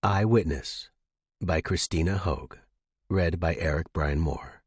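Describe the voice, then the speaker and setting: A man with a fairly low, husky voice works as a fiction podcast narrator and host. His delivery is that of expressive audiobook storytelling. The sound is very dry, with no room around it. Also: takes it slowly, no room sound.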